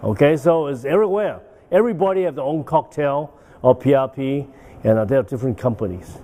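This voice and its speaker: Older guy giving a conference talk, lots of uh and okay?, warm relaxed voice. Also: medium pitch, brisk. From Hong Kong. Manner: rambling, with frequent "uh" and "okay?" tags. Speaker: guest lecturer. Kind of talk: medical conference lecture. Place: banquet hall.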